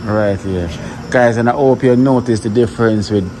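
A man's voice: medium pitch, normal speed, noisy background. A man giving a casual walkthrough of a guest villa, talking straight to his viewers. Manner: relaxed, repeats himself, speaks to viewers as guys. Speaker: vlogger touring a guest villa.

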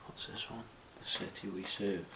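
Low-voiced man exploring. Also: fairly even intonation, normal speed. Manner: chatty, half-whispered asides. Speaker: urban explorer. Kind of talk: casual filmed commentary. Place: abandoned house indoors. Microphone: handheld camera. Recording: roomy and noisy camera audio.

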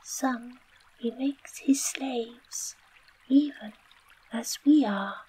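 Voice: mid-range voice, extremely slow, mellow, husky, pitched above the performer's own voice, full character voice, low-quality recording. A storyteller whispering the part of an enchanted field mouse trapped as a button. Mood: mournful. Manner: whispered, mournful.

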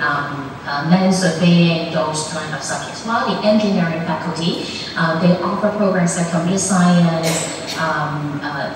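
A woman's voice: on the low side, noisy background. A woman with a Hong Kong accent, a native Cantonese speaker, giving an informal admissions presentation to parents in a classroom, hesitant with many ums and restarts. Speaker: university admissions guest speaker. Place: classroom with large screen. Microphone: far camera. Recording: echoey room from far off.

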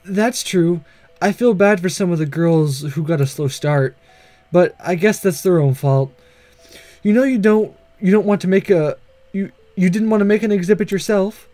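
A gentle, studious schoolgirl played by a man speaks softly and politely in a visual novel. The voice is raised high, earnest and measured, with a dry sound. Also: dry recording, soft background music, close to an everyday voice. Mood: grateful, earnest. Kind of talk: visual novel read-aloud.

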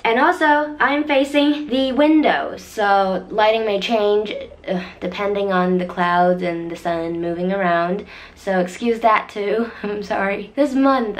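Young woman vlogging from her little bedroom, mid pitch, voice kinda deeper than normal, lots of likes and restarts. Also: unhurried. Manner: chatty, filler-heavy, often restarts and corrects herself. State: voice a bit deeper than usual after losing it. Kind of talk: casual chat to camera. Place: small bedroom, indoors. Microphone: camera in front at desk distance.

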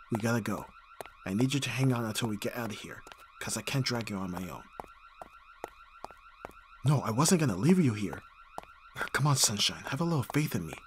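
A man's voice: mid-range voice, fairly bright tone, slight background noise. A man playing an abrasive but caring bodyguard in an audio roleplay, speaking with exasperated urgency and sighs. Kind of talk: ASMR audio roleplay acting.

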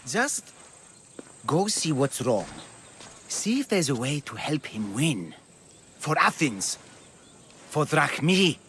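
Ancient gambler, very high voice, lively, wheedling, scheming hustler, video game character. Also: male voice, takes it slowly, pauses often, a little hiss or hum, heavily acted. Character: scheming, eager male gambler in ancient Greece.

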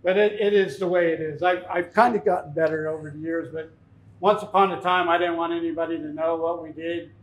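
Man, fifties, informal seminar speaker, plain-spoken, little pitch movement, roomy echo on a lapel mic. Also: fairly even intonation, a little fast. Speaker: fishing captain giving a seminar. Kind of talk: informal seminar talk with anecdotes. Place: tackle shop, indoors, speaking to an audience. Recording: echoey shop room.

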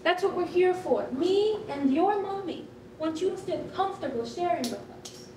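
A woman's voice: extremely high pitch, animated, moderate pace, a little mellow. An actress playing a reassuring, upbeat female counsellor in a staged reading of a children's storybook, playful and emphatic. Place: concrete rehearsal hall, standing at music stand. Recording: distant roomy stage recording, faint noise.

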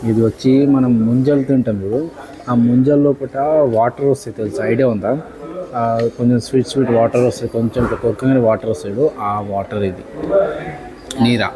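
Young man, casual explainer, medium pitch, clear crowd murmur behind. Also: steady tone, fairly quick, poor audio. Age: young adult. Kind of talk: casual chat, explaining to camera. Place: open cafe seating area. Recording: busy public cafe, people around.

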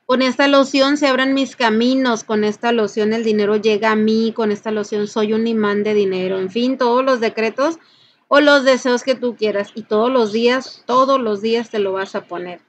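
High-voiced Mexican woman, dull recording. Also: fairly even intonation, normal speed. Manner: instructive, emphatic, addresses listener directly. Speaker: folk ritual practitioner and channel host. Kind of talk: informal spoken tutorial.

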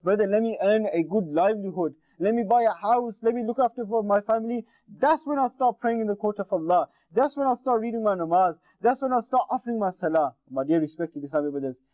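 A man's voice: high-pitched, expressive, brisk, a little mellow, no echo. A bearded man in his thirties delivering an earnest Islamic sermon to camera from a TV studio desk, speaking into a lapel mic and addressing viewers directly. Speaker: Islamic preacher. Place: indoor TV studio with painted backdrop. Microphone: lapel mic, seated at desk.

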